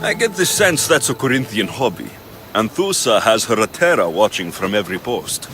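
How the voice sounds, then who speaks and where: A man's voice: on the low side, big pitch swings, normal speed, music in the background. A man playing a blunt, confident young mercenary in dramatic video game dialogue. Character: blunt, confident male Spartan-born mercenary.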